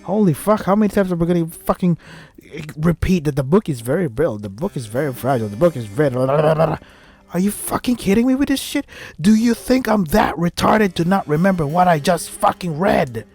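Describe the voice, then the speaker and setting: A man's voice: fairly high pitch, very animated, normal speed, a little mellow, slightly rough, soft background music. A man doing gaming commentary as he reads a visual novel aloud, breaking in with sarcastic, foul-mouthed asides. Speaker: let's play host reading a visual novel aloud.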